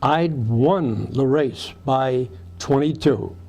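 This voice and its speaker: A man's voice: medium pitch, slow, a little mellow. A man reading rhyming verse aloud. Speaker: reader of poems.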